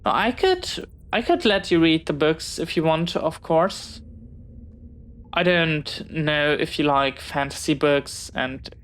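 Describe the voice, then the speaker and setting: A woman's voice: low voice, animated, slow pace. A woman playing a friendly, bookish, talkative young pirate in an audio roleplay, speaking in a polite, hesitant, earnest way. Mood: calm, inviting. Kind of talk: intimate scripted audio roleplay.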